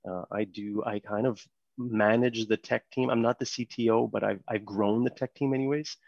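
A man in his thirties from Montreal with a French Canadian accent, whose first language is French, telling his story as a podcast guest with relaxed ums and restarts. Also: on the low side, moderate pace, creaky, dry recording, muffled recording. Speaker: podcast guest, company co-founder. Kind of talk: casual interview talk.

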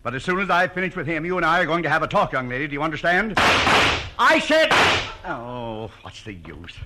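An actor playing a stern, irritable rich guardian in a 1940s radio drama, brusque and authoritative. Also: male voice, fairly high pitch, sing-song, fairly quick, bright, forceful tone, a little hiss or hum. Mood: angry, exasperated.

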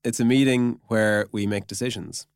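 A man's voice: on the low side, steady tone, moderate pace, gravelly, clear, resonant tone, dry recording, clean studio sound. A man speaking as a podcast guest, explaining things in an informal, chatty way with lots of conversational filler phrases. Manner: informal, peppers speech with "like" and "you know". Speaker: podcast guest, product executive. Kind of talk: casual explanatory chat.

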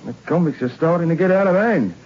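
A man voices a blunt prison guard, pitched a little high, matter-of-fact. The sound echoes with a long reverb, like an old lo-fi radio play. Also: animated, fast talker, darkish tone, lightly acted. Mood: deferential. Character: hard-nosed prison guard. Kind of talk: 1960s radio drama acting.

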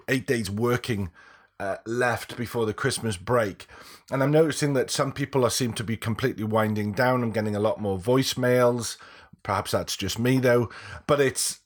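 Croaky-voiced man hosting a podcast. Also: medium pitch. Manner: chatty, rambling with restarts. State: croaky from a cold. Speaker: podcast host. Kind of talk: casual podcast talk.

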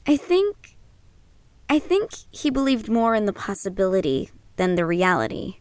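A woman's voice: mid-range voice, big pitch swings, normal speed, dry recording, close to an everyday voice. An actress playing a headstrong, flippant American film student in her early twenties in an audio drama. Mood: casual, teasing. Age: early 20s. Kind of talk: audio drama acting, documentary narration and casual banter.

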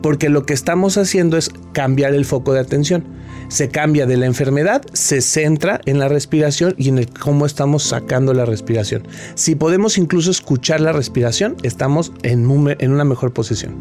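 A man's voice: on the high side, a little fast, darkish tone, faint music behind. A man, a guest expert answering interview questions in an explanatory way, often restarting his sentences.

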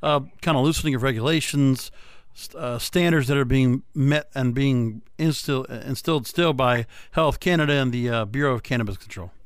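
A man's voice: medium pitch, moderate pace, smooth, no room sound, studio quality. A man hosting a podcast interview in a conversational style, putting long, wordy questions to his guest. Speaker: podcast host.

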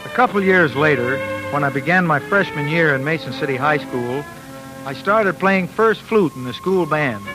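Man as folksy, higher-voiced young radio storyteller. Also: animated, moderate pace, natural voice, poor audio. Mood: wry, nostalgic. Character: earnest, boastful small-town youth telling his life story. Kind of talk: scripted narration, 1940s radio acting. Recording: vintage radio recording with music.

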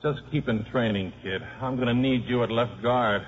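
A man's voice: mid-range voice, moderate pace, a little hiss or hum, lightly acted. An actor playing a wisecracking, down-on-his-luck football coach and father with a dry, sardonic manner in a 1950s radio drama. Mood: weary, gruff. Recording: muffled old radio broadcast recording.

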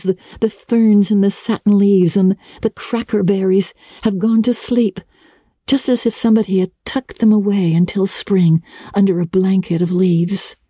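Storybook role: earnest, romantic young girl played by a woman. Gushing, wordy, high-lilting, soft-edged and mellow. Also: breathy edge, no room sound, studio quality. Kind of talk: dramatic audiobook reading of classic children's fiction.

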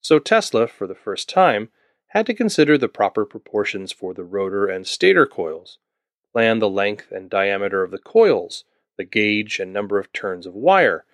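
A man's voice: on the low side, dry recording, studio quality. A man from Ontario narrating a scripted history podcast, with wry asides to the listener. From Ontario, Canada. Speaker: podcast host.

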